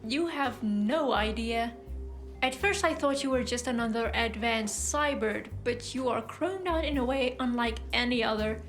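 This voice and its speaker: Woman playing an articulate, high-voiced clinical scientist. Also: unhurried, faint music behind, close to an everyday voice. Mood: clinical, intrigued. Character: coolly fascinated woman scientist-healer of a long-lived species. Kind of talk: audio drama acting.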